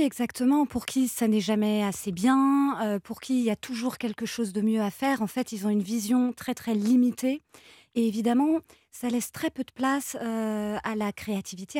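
Woman guest on radio, calm explaining, soft warm tone, kinda high. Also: no echo, studio quality. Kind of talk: radio talk show discussion.

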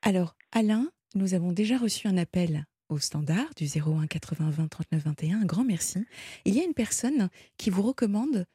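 A woman hosting a live radio call-in show in a conversational way, gently coaxing and attentive, speaking close to a studio microphone. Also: lowish voice, animated, brisk, slightly rough, dry recording.

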